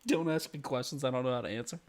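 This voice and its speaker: A man's voice: medium pitch, very fast, darkish tone. A young man co-hosting a podcast, bantering casually, laughing often and swearing as he rambles. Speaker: podcast co-host.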